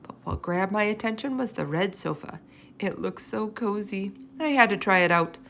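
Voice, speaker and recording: Creaky-voiced woman reading a children's story. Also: mid-range voice, animated, normal speed, fairly bright tone, slight background noise. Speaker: storybook reader. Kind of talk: expressive children's read-aloud narration.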